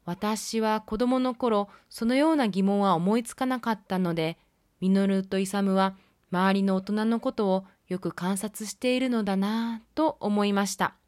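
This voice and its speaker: A woman's voice: medium pitch, no echo. Woman narrating a film review, dry close sound. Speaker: film review podcast host.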